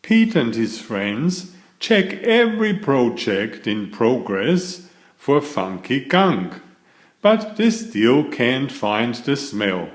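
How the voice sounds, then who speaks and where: Male read-aloud narrator for a children's picture book: slow, very animated, echoey room. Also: mid-range voice. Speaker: storybook reader. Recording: roomy echo.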